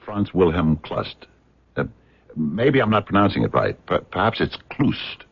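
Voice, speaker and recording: Earnest American scientist, very low husky voice, hesitant and polite, radio drama, muffled recording. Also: male voice, big pitch swings, a little slow, full character voice. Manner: hesitant, polite, wordy, stammering. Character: earnest, bumbling American physicist abroad, courteous and curious. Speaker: actor in a radio mystery.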